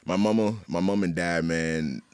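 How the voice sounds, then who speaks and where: A man from Haiti with a very low voice talks casually and openly about his life as an interview guest. His delivery is animated and conversational, full of little check-ins with the listener. The sound is dry and close. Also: moderate pace, clear, resonant tone, no room sound. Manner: animated, repeats "you know what I mean". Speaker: podcast guest, artist. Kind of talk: casual, candid interview storytelling.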